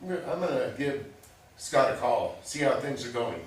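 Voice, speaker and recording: A man's voice: mid-range voice, animated, normal speed, natural voice. A man playing an oblivious, defensive older father in a live staged play reading, blustering and protesting. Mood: confused, insistent. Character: oblivious, defensive family father, a little forgetful. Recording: very echoey room, distant mic, hiss.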